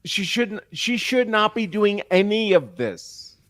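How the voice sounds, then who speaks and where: A man's voice: high-pitched, big pitch swings, takes it slowly, fairly bright tone, no room sound, studio quality. A man giving casual legal commentary on a live stream, in a wry, joking and opinionated way.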